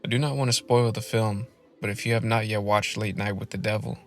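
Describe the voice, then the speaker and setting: A man hosting a scripted film review video essay in measured narration. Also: lowish voice, fairly even intonation, faint music behind.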